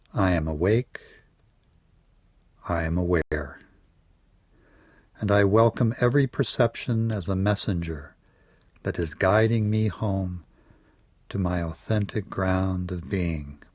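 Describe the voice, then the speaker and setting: Slow, low-voiced man guiding meditation. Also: no echo, clean studio sound. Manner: measured, soothing delivery with phrases trailing off softly. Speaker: meditation guide. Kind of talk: guided yoga nidra meditation.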